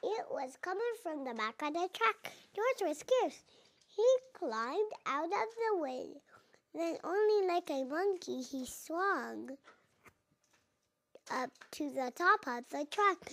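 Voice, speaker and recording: Little girl of four, bright voice, slow halting delivery, creaky edges, reading a storybook aloud. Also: very high voice, expressive. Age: young child, four and a half. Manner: halting, sounding out words, repeating. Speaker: child reader. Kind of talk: reading a picture book aloud.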